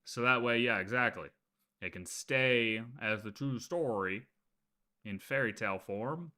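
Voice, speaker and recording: Bright-voiced man reacting on stream. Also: mid-range voice, a little slow. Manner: informal, slangy. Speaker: game streamer. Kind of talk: casual reaction commentary.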